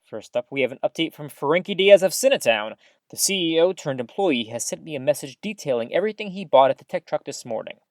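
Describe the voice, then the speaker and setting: An actress as a folksy small-town radio host in an audio drama, a woman with a low voice that swings up and down. She delivers chatty, upbeat news patter full of mild old-fashioned exclamations, recorded very dry. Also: animated, fast talker, no echo.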